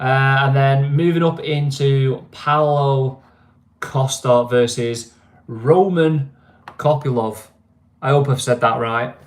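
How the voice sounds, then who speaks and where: A man's voice: mid-range voice, roomy sound. A man with a Mancunian accent, chatting casually on a stream and often asking "you know what I mean". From England. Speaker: vlogger. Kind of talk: casual live-stream chat.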